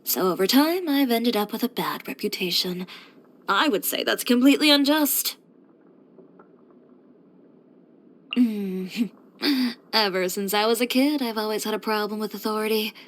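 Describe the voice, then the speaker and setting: A woman's voice: mid-range voice, moderate pace, close to an everyday voice. An actress playing a headstrong, composed young woman who runs a boutique, speaking frankly and with dry confidence, as video game character voice lines. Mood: frank, defiant. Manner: matter-of-fact, frank, dryly confident delivery.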